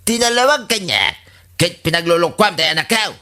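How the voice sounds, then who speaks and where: A man's voice: high voice, animated, brisk, bright, forceful tone. A man playing an exasperated, scolding father in a comedy radio drama. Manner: scolding, emphatic.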